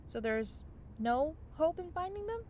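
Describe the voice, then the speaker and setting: Casting: flighty young fairy, high animated voice, casual and evasive, fantasy comedy audio drama. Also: female voice, unhurried, slight background noise, heavily acted. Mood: eager. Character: flighty, sheepish young female fairy who loses magic gems.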